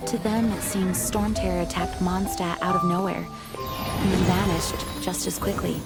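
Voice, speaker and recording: A woman voicing a composed, dutiful female knight commander who speaks in a measured, reassuring way in a video game. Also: fairly low pitch, fairly even intonation, loud music behind the voice, lightly acted. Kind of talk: video game dub acting. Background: orchestral score.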